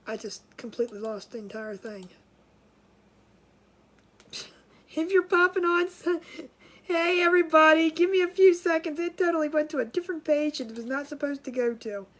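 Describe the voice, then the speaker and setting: A woman's voice: high voice, moderate pace, pauses often. A woman hosting a casual craft livestream from home, sounding nasal and congested, chatty and scattered, with frequent laughs and restarts.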